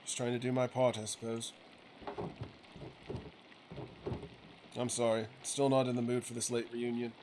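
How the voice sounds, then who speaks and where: A man's voice: mid-range voice, completely flat delivery, fast talker, natural voice. A man voicing a weary, resentful printer and father from a video game, curt, firm and reproachful, with a righteous streak. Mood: curt, grudging. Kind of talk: acted reading of video game dialogue. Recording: clearly noisy game ambience behind voice.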